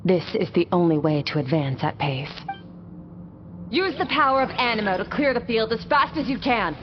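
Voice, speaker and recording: An actress playing a stoic, disciplined warrior mentor in a video game, speaking in a cool, deliberate, matter-of-fact way. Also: female voice, medium pitch, very animated, background noise, close to an everyday voice. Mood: stern, then commanding. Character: stoic, disciplined female warrior mentor wielding void power. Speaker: combat trainer. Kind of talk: video game character dialogue. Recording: slightly muffled game audio under music.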